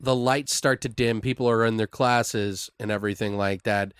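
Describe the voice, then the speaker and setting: A man's voice: fairly low pitch, fairly even intonation, moderate pace, clear, resonant tone. A man co-hosting, casually brainstorming a made-up story in a loose, rambling, profane way. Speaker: podcast co-host.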